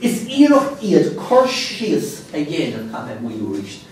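A man in his thirties giving a conference keynote at a podium, reading quotations aloud with dry asides in an animated delivery. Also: fairly high pitch, normal speed, a little hiss or hum. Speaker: keynote speaker. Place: lecture hall, speaking at a podium. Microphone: lapel mic. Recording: very echoey lecture hall, slightly distant.

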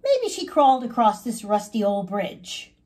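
An earnest young boy caterpillar from a storybook, acted out by a woman reader. He speaks in a high, swooping voice with a husky edge, drawing out his words eagerly. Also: exaggerated voice. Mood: searching, calling. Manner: expressive, drawn-out calling and musing.

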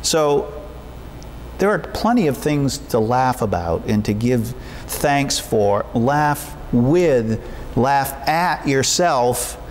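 A middle-aged man speaks as a pastor to his congregation. His delivery is measured and encouraging, informal but prayerful, at a medium pitch. There is some faint noise behind him in the room. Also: a little mellow. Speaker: pastor leading a church service. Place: church sanctuary, at a wooden pulpit.

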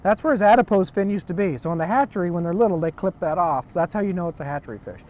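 A man working as a fishing guide, calmly and patiently talking someone through landing a fish, recorded outdoors on an open river boat. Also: high-pitched, racing. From Oregon, United States. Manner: calm, patient instructions. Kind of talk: coaching on a boat. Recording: outdoor noise from boat and water.

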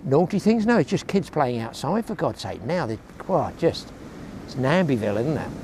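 A chatty older man in his sixties talks to a close-held camera while fishing alone on a shingle beach. He rambles from tips to grumbles with a dry sense of humour, his voice sitting in a middle register. Clear outdoor noise runs behind him. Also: medium pitch, sing-song, moderate pace, a little mellow. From England. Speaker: fishing vlogger. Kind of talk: casual chat to camera. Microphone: action camera held close.

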